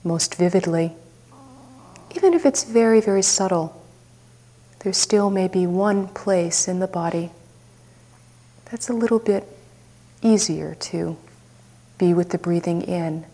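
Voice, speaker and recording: A woman teacher leading a guided meditation, speaking softly and unhurriedly with long pauses. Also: on the low side.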